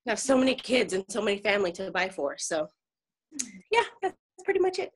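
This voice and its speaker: A woman's voice: mid-range voice, very animated, brisk, a little hiss or hum. A woman, chattily introducing herself on a group video call. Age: 30s. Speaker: new consultant.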